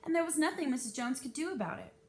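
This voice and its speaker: A woman reading a children's novel aloud as an expressive narrator. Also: quick pace. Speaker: read-aloud reader. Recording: noisy with low rumble.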